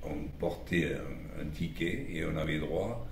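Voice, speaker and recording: Very deep-voiced Italian-born man, about 80. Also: expressive, gravelly, a little hiss or hum. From Italy. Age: older, about 80. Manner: slow, halting, with frequent restarts. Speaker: interviewee. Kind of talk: reminiscing interview. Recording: roomy echo with background music.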